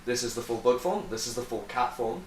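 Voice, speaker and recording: A man's voice: mid-range voice, expressive, a little fast. A man explaining things to friends like a keen fan, opinionated, emphatic and swearing heavily. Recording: roomy, distant mic, faint noise.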